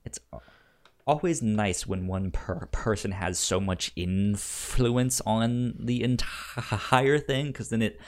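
A man co-hosting a casual review podcast, with a stutter that repeats sounds and a halting, easygoing delivery. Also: on the low side. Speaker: podcast co-host.

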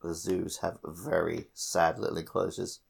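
A man's voice: low-pitched, level tone, measured pace, vocal fry, husky. A man co-hosting a casual gaming podcast, rambling off into dry asides. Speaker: podcast co-host.

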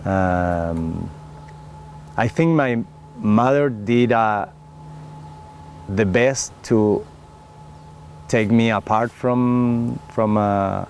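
A middle-aged Chilean man with a gravelly, fairly low voice and a Chilean Spanish accent. He talks very slowly and earnestly, stopping often on fillers and restarting phrases. Faint background noise sits under the recording. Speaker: winemaker interviewee. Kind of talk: oral history interview.

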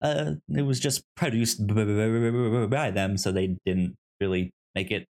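A podcast co-host chatting casually and pitching movies in a halting way, often stammering and repeating syllables. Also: a little mellow.

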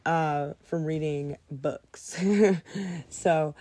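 A woman's voice: low voice, very slow, mellow. A young woman whose first language is French, chatting casually as a podcast guest, hesitant and full of fillers. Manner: hesitant, full of "like" and "um", laughs often. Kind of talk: casual conversation.

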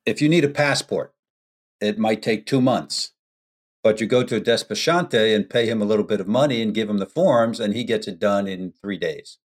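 An American man, a podcast guest, telling stories in an unhurried, anecdotal way with frequent ums. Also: lowish voice. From United States. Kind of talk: storytelling in a podcast interview.

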